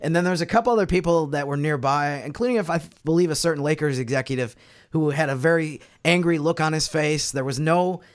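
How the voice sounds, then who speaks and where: A man's voice: on the high side, fast talker. An American man on a sports podcast panel, explaining things casually with hedged asides. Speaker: podcast panelist covering basketball. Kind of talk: casual sports roundtable talk.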